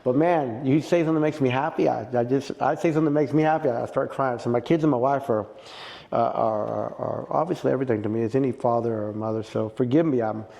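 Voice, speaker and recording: A middle-aged man with a mellow, gravelly voice, talking jiu-jitsu in a relaxed interview. He tells his stories with plenty of feeling and jumps up in pitch and volume when something excites him. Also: fast. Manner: animated, emotional storyteller who gets loud and high when excited. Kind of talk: casual podcast interview.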